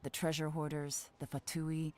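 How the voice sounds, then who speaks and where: An actress playing a poised, shrewd woman strategist in a fantasy game, speaking in a measured, authoritative way. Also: low-pitched, monotone, slight background noise, lightly acted. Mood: measured, explanatory. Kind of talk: English game voice acting. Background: soft game music.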